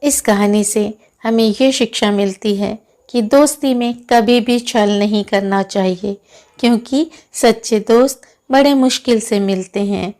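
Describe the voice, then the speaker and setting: A woman's voice: fairly high pitch, measured pace, a little mellow. A woman narrating a moral story for children as a storyteller.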